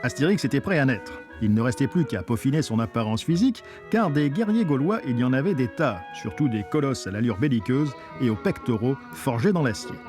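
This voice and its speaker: A man's voice: mid-range voice, lively intonation, brisk, music in the background. A man reading a scripted documentary narration as its narrator.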